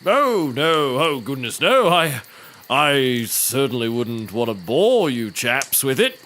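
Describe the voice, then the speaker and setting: A man playing a pompous, easily wounded British gentleman private detective in a scripted comedy, speaking in formal, wordy, genteel phrases. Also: mid-range voice, sing-song, slow, a little hiss or hum, full character voice. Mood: bashfully modest. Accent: British English. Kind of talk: scripted comedy acting, storytelling.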